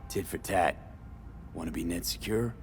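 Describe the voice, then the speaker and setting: A man's voice: sits low, moderate pace, gravelly, soft background music. An actor playing a tough, blunt male mercenary in a video game, terse, pushy and skeptical. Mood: bargaining, firm. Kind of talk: video game dialogue acting.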